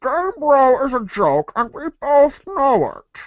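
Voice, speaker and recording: A woman's voice: high-pitched, very animated, takes it slowly, slight background noise, exaggerated voice. A woman voicing a dismissive superhero in a comic reader's theater skit, on a slightly muffled recording. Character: Batman, dismissive and dry, in a skit.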